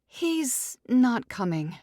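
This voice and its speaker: A woman's voice: fairly high pitch, sing-song, drawn-out words, warm tone, no room sound, studio quality, voice pushed up, exaggerated voice. Woman acting a hesitant young maid of honor. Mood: reluctant. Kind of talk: audiobook character dialogue.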